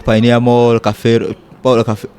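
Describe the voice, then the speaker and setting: A man's voice: medium pitch, monotone, moderate pace, darkish tone, clean tone. A young man from South Sudan, a guest in a candid interview, talking bluntly and emphatically. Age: young adult. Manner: blunt, emphatic, mimics people in quotes.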